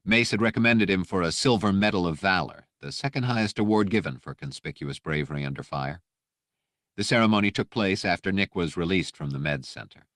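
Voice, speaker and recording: Very deep-voiced male audiobook narrator. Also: lively intonation, brisk, fairly bright tone, no echo, clean studio sound. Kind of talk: scripted dramatic audiobook narration.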